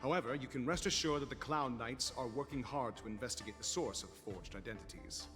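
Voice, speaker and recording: A man's voice: mid-range voice, brisk, a bit bright, faint music behind, lightly acted. A man playing a polite, dutiful soldier in an anime game, speaking formally and articulately. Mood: polite, helpful. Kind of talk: scripted anime game voice acting.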